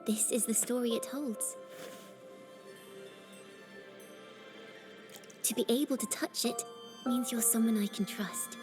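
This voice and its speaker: An actress playing an earnest, poetic young woman in a video game, telling her story with gentle, solemn theatricality. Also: on the high side, fairly even intonation, moderate pace, close to an everyday voice. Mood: gentle, confiding. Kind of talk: dramatic video game narration. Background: clear background music.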